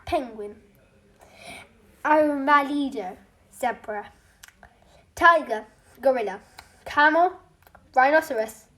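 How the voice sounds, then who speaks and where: A high-pitched reader tells a children's story aloud. The delivery is slow and halting, with small stumbles that get corrected along the way. It is scripted storybook narration. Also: pushed and bright.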